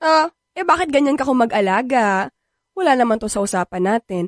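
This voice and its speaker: Actress as a high, animated, flirty Filipina. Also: female voice, a little fast, dry recording, studio quality, close to an everyday voice. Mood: teasing. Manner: coquettish, teasing, wheedling. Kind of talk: Filipino radio drama acting.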